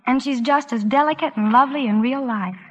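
High-pitched, gushing woman on old radio. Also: brisk, husky. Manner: gushing, admiring. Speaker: guest in a sponsor's advertising segment. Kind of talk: scripted 1940s radio ad dialogue. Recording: muffled, faintly noisy vintage radio broadcast.

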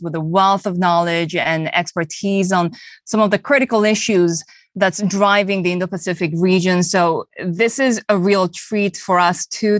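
A woman's voice: fairly low pitch, dry recording, studio quality. A woman in her forties who grew up in Bolivia and speaks with a hard-to-place accent, chatting in a friendly way as a podcast co-host and news anchor. She laughs easily while she talks. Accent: hard-to-place mixed accent. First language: Spanish and Korean. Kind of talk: conversational podcast chat.

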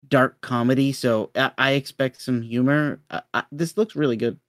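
A man co-hosting a podcast in a low-key, conversational manner, chatting casually. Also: steady tone, moderate pace, no room sound, clean studio sound. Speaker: podcast co-host.

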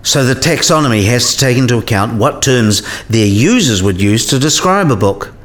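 A man's voice: medium pitch, animated, normal speed, natural voice. A man playing a learned, philosophical professor and patient mentor in a scripted radio play, with a professorial way of talking. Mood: lecturing.

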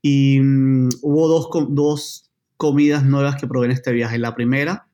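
A man's voice: mid-range voice, flat delivery, unhurried, warm tone. A man from Colombia, a journalist guest chatting casually and hesitantly with frequent fillers and restarts. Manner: hesitant, many fillers and restarts, uneven pace. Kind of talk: casual chat.

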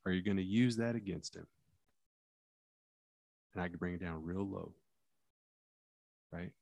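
A man with a lowish, loud, carrying voice, recorded close and dry with no room sound. He talks casually about courtroom practice as a lawyer. He animates his stories and acts out lines, sinking his voice down low for effect. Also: a little slow, vocal fry, no room sound. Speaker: criminal defense lawyer.

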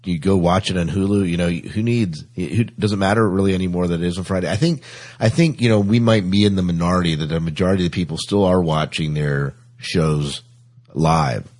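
A man's voice: sits low, a little fast, a little mellow. A man hosting a casual chat, agreeable, often repeating words as he gets going. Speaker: podcast host.

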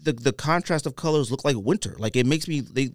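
A man's voice: mid-range voice, brisk, dry recording, studio quality. A man hosting a casual podcast, talking in an informal, slangy, opinionated way. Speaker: podcast host. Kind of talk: casual sneaker podcast chat.

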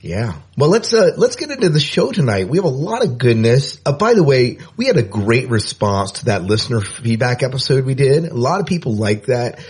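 Male podcast co-host, husky voice, chatty and upbeat, casual rambling. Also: medium pitch, big pitch swings, a little fast, few pauses, a little mellow.

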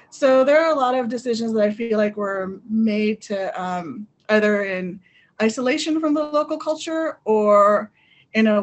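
A woman in a panel discussion, speaking thoughtfully and hesitantly, with many pauses and fillers. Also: medium pitch. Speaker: panel guest. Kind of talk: conversational discussion.

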